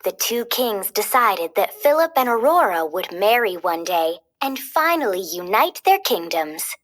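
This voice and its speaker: A woman reading a children's storybook aloud as a storyteller, expressive and clearly paced. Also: mid-range voice, unhurried.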